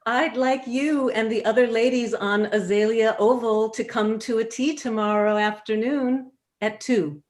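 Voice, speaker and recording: Suburban single lady in a stage play, female reader: forthright, polite, composed, voice a little high. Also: steady tone, a little slow, hardly any pauses, close to an everyday voice. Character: forthright, courteous unmarried suburban neighbour lady. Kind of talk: staged reading of a satirical play. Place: video call.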